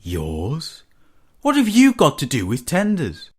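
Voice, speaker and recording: Storybook engine, male, jealous grumbler, huffy, eager, highly animated, voiced by a man. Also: slow, slightly rough, full character voice.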